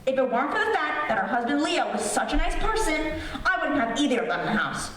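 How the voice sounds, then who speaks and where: Bickering wife for old-time radio comedy , female actor: exasperated, self-pitying, very animated, heard from far off in a reverberant hall. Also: sits high, fast talker. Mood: jealous, indignant. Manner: exasperated, whiny, needling complaints. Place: stage performance in a reverberant hall. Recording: very echoey hall from far off, faint noise.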